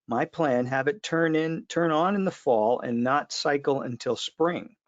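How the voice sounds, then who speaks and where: A man's voice: on the high side, measured pace. A man hosting a casual live trade chat, informal and fond of repeating his phrases as he reads out viewer comments. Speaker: host of a trade webinar. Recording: dull lo-fi online call audio.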